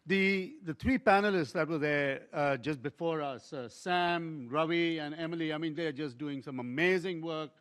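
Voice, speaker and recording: A man's voice: a little high, unhurried, a bit bright, smooth, a faint crowd. A man moderating a panel, effusive in his praise, with many fillers. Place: conference hall.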